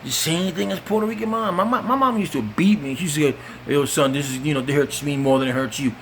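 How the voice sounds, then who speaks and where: A man's voice: fairly high pitch, quick pace, slight background noise. A man from the Bronx with a New York accent, telling stand-up stories in loose, profane street talk and acting out the people he quotes. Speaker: stand-up comedian. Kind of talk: stand-up storytelling.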